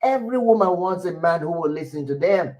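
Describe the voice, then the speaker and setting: A middle-aged man with a loud, airy voice, giving relationship advice to women in an emphatic way. He repeats his points and keeps checking in with his listeners. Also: sits high, animated, fairly quick.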